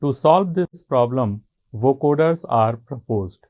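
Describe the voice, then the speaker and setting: A man with an Indian accent giving a technical college lecture in an explanatory way, in deliberate short phrases. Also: medium pitch, expressive. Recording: muffled, phone-like narrow band.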